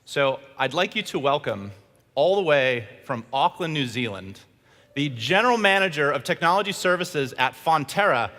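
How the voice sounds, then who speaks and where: A man hosts a keynote on a big stage in an upbeat, joking manner, often stumbling into restarts. His voice is bright and forceful at a middling pitch. The large hall adds a long reverb. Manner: upbeat, jokey, with frequent restarts. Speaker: keynote presenter and interviewer.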